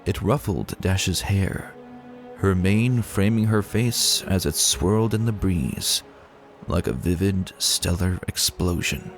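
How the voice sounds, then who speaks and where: A man narrating a story as a dramatic reading, expressive and deliberate in his delivery. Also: low-pitched, faint music behind.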